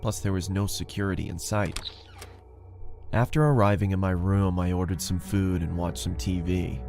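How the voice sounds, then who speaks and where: Man, very low pitch, scripted horror narration, first person, clear background music. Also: steady tone, normal speed, darkish tone.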